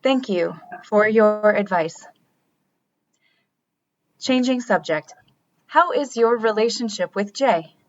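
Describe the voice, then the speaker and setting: A woman's voice: medium pitch, lively intonation, takes it slowly, pauses often. Woman playing formal, literal artificial being, slightly dull audio. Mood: polite, curious. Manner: formal, literal, uncontracted phrasing. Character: artificially created female being, earnest, formal, emotionally detached. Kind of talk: audio drama acting.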